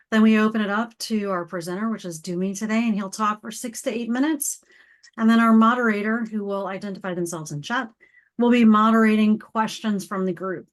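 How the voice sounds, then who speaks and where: A woman hosting a weekly online business meeting, upbeat and organized as she explains how it runs. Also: mid-range voice. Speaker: meeting host. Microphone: video call.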